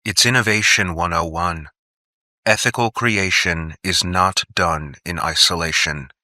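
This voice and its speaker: A man performs a cautious male doctor in an audiobook drama. He speaks low and smooth, in slow measured phrases that gently admonish. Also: dry recording, heavily acted.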